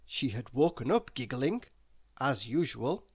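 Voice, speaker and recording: A woman reading a children's story aloud, expressive and deliberate, with dramatic emphasis. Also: very deep voice, breathy edge. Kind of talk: children's read-aloud narration.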